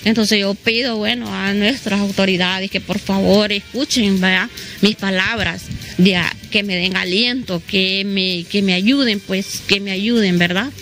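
Middle aged lady from southern honduras talking in a news interview, repeats herself, mid pitch, music under it. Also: steady tone, measured pace, fairly bright tone. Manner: repeats phrases, often adds "¿verdad?". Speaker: local resident being interviewed. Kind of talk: spontaneous news interview testimony. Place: at her house, open air. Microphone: handheld reporter microphone held toward her.

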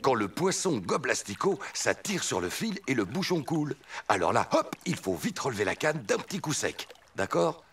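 A man voicing a gentle, patient father bear who softly explains things in a preschool cartoon. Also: mid-range voice, very animated, moderate pace, few pauses. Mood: patient, instructive. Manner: soft-spoken, patient, explanatory. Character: gentle patient father bear, adult male, teaching his cubs. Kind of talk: French preschool cartoon dialogue. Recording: music behind, slightly distant voice.

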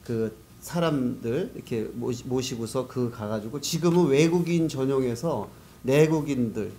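A male interview guest with a soft, mellow timbre explains things conversationally. His pitch sits in an ordinary middle range for a man. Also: normal speed, a little hiss or hum. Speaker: guest, business network organizer.

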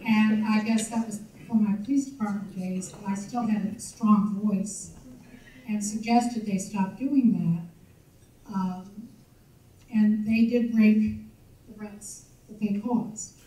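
An older woman tells her memories at a community meeting, rambling and chatty. Her voice is mellow and dark. She is picked up from a distance in a large, echoey banquet hall. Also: mid-range voice, fairly even intonation, takes it slowly. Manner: chatty, rambling recollection with fillers. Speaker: audience member sharing memories. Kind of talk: recollecting at a public meeting.

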